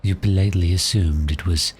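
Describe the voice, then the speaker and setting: A man playing a polite, cheerful but menacing vampire in an ASMR roleplay, speaking courteously and deliberately. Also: low voice, fairly even intonation, a little mellow, a little hiss or hum. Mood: calm, quietly gloating. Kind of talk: slow ASMR roleplay acting.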